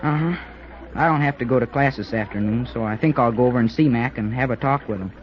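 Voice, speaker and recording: A man's voice: medium pitch, fast, some chatter behind, natural voice. Jerry, a man as an earnest schoolboy, muffled recording. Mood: glum, downcast. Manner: boyish, eager, folksy slang. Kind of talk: 1940s radio acting. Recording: noisy, dull old radio recording.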